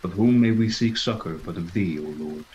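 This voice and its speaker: A man's voice: sits low, normal speed, a little mellow, muffled recording, lightly acted. An actor playing a solemn clergyman reciting burial rites in liturgical tones. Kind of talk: dramatic recitation.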